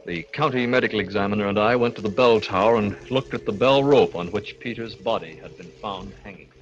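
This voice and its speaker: A man's voice: lowish voice, a little fast, highs cut off, close to an everyday voice. An actor in a 1940s radio drama playing a fevered, murderous man sliding into madness, with melodramatic delivery. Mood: nervous, guarded. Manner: melodramatic, swinging from hushed to frantic. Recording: old radio broadcast, organ music behind.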